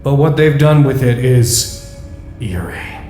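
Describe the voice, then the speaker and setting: Gloomy suspicious guy logging notes in a horror podcast, dark low voice, slow-burn wry delivery, faint music behind. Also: animated. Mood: uneasy, wry. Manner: measured, ominous, dryly wry. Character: brooding, wary male facility staffer recording his observations. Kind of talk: audio drama monologue.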